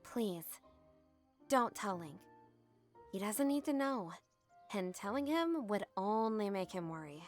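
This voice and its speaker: A woman's voice: medium pitch, lively intonation, takes it slowly, long pauses, soft background music. A woman playing a spirited, protective young huntress in an audiodrama. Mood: hushed pleading. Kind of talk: audiodrama acting.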